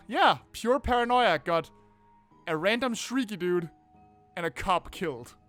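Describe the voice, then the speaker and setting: A man hosting a game playthrough, reading narration aloud and commenting in a casual, expressive, sweary way. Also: high-pitched, smooth. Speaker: gaming video host. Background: faint game music.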